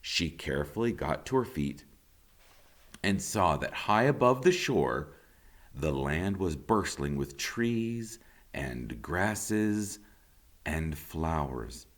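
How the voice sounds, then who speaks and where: Low-voiced, animated man reading a storybook. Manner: animated, deliberate, dramatic emphasis. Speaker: teacher reading a children's book aloud. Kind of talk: expressive storybook narration.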